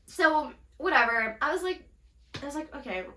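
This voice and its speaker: A young woman with a bright, forceful voice tells a story on a casual podcast. She is animated, acts out what people said, and laughs often. The room around her sounds echoey. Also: high voice, moderate pace. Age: young adult. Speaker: podcast co-host.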